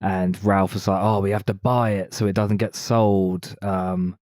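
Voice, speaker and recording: A man's voice: deep voice, steady tone, unhurried, darkish tone, dry recording, studio quality. A man co-hosting a film podcast, talking casually as he reviews movies.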